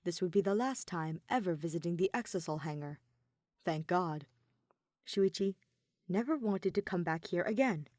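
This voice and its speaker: A woman reading dramatic fan fiction narration in a deliberate way, pausing between phrases. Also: fairly low pitch, lively intonation, a little mellow, no echo, clean studio sound. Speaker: podfic reader.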